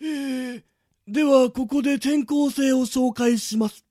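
A woman's voice: sits high, fairly even intonation, unhurried, mellow, dry recording, studio quality. A woman playing a stiff, formal male schoolteacher who speaks in a lecturing tone, in a radio drama.

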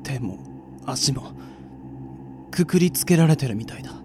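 A man's voice: mid-range voice, expressive, a little slow, darkish tone, a little hiss or hum, lightly acted. A man playing an earnest young secret agent in a radio drama, with dramatic delivery and inner monologue. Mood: confused, groggy. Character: earnest young male secret agent, newly trained, defiant.

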